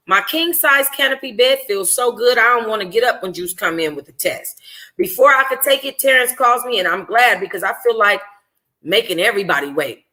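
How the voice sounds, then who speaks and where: A woman reading a first-person novel aloud informally as a book club host, in an expressive, conversational, slangy way. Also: a little high, brisk, low-quality recording.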